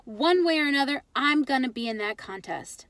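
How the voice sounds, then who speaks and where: A stubborn, exasperated young storybook boy, voiced by a woman, high and swooping in pitch. He complains insistently, in a dry recording. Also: expressive, normal speed, fairly bright tone, no echo. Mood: determined. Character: frustrated, stubborn young boy narrating his own life. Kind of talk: children's storybook read-aloud.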